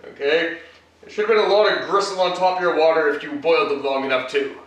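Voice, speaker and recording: A man's voice: on the high side, fairly even intonation, fast talker. A man hosting a casual home cooking demonstration, talking the viewer through each step in a chatty way with joking asides. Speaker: cooking show host. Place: home kitchen, indoors. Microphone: camera mic from across the room. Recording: echoey kitchen, mic far off.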